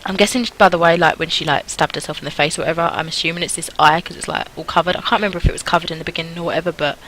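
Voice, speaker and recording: A woman's voice: on the low side, fairly even intonation, very fast, a bit bright, slight background noise, low-quality recording. A chatty, self-deprecating woman reading a visual novel aloud with casual commentary. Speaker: gaming video host.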